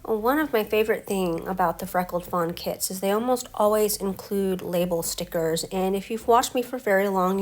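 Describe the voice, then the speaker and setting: A middle-aged woman with a stuffy head cold, talking through her scrapbook layout step by step in a relaxed voice-over. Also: medium pitch. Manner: relaxed, explains each step as she works. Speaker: scrapbooking crafter. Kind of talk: casual craft voice-over.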